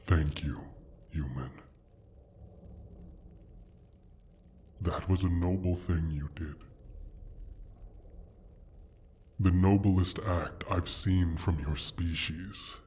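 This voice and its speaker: A man voicing a proud, sly male dragon in an ASMR fantasy roleplay, speaking in a deliberate, formal, teasing way with a husky, breathy rumble. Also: a little mellow. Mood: grudging gratitude. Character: injured male dragon, proud, sly, possessive of his hoard.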